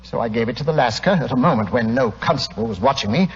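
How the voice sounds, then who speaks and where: An actor playing an anxious, contrite well-bred married Englishman, husky, hesitant and earnest, in 1940s radio style. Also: medium pitch, lively intonation, fast talker. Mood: ashamed, confessing. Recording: muffled, echoing 1940s radio recording.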